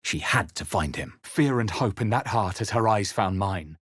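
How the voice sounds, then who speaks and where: Brooding adventurer, deep husky voice, grave deliberate storytelling, dark fantasy game narration, dry close sound. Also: male voice, animated, dry recording. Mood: grave, hushed. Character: male adventurer narrating his own dark fantasy memories, grave and introspective. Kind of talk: scripted first-person game narration.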